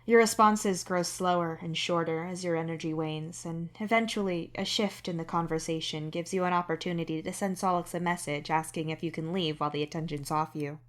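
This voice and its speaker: A woman's voice: on the low side, fairly even intonation, brisk, hardly any pauses. A woman reading scripted fiction as a narrator.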